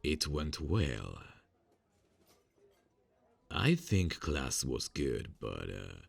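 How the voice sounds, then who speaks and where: A young man playing a friendly male college student who is secretly a serial killer, speaking softly and hesitantly in an ASMR roleplay, with many fillers and quiet insinuations. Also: extremely low pitch, big pitch swings, extremely slow, creaky, husky, no echo, full character voice. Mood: falsely friendly.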